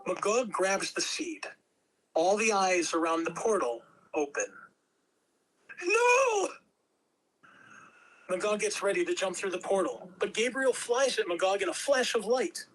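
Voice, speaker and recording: A woman's voice: mid-range voice, expressive, moderate pace, noisy background. A woman reading scripted narration at a table read, telling the action in a dramatic storytelling way. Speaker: script reader.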